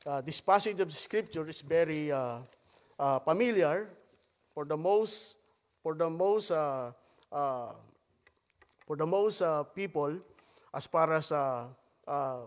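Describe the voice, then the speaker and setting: A male preacher from the Philippines speaks English with a Filipino accent, his first language being Tagalog. His voice sits a little higher than most men's, and he pauses often with halting fillers between phrases. His words ring out across a church hall with a long trailing reverb. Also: expressive. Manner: halting delivery with frequent hesitations; softer, hushed tone while praying. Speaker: visiting missionary pastor. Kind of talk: sermon with Bible reading and prayer. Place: church sanctuary. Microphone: pulpit microphone.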